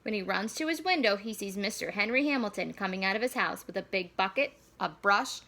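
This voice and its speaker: A woman reading a children's storybook aloud in an animated, deliberate storyteller delivery. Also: mid-range voice. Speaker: school staff member reading aloud.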